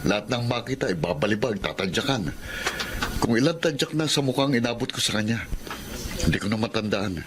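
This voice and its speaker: An actor playing a grown son who speaks with bitter resentment in a radio drama. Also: male voice, lowish voice, animated, brisk, background noise, lightly acted. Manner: bitter, brooding.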